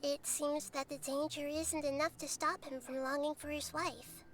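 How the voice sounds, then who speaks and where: An actress voicing a gentle young girl goddess who speaks softly and thoughtfully, full of analogies, in a game scene. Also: high voice, flat delivery, normal speed, background noise, natural voice. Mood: calm. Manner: soft, thoughtful, fond of analogies. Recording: game audio with music.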